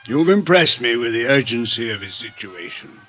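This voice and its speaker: A man's voice: mid-range voice, very animated, moderate pace, a bit bright. An actor playing a bitter, drunk older man with florid, formal, theatrical speech in a 1950s radio drama. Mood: melancholy, weary. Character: bitter drunken aging former friend of a racketeer, world-weary. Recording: dull vintage radio recording, music behind.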